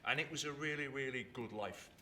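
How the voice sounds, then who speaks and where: A man in his fifties, from England, telling stories about his upbringing in an unhurried way and repeating words for emphasis, recorded in a theatre. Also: medium pitch, pushed and bright, slight background noise. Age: 50s. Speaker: storyteller and writer. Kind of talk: reflective storytelling chat.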